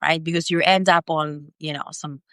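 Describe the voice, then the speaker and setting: A woman from Ukraine, a native Ukrainian or Russian speaker, speaks in a low, smooth and clear voice. She talks thoughtfully, pausing on fillers and restarting her sentences. The sound is dry and close, with no room around it. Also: steady tone, fairly bright tone, dry recording. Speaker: independent journalist, guest. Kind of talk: conversational interview.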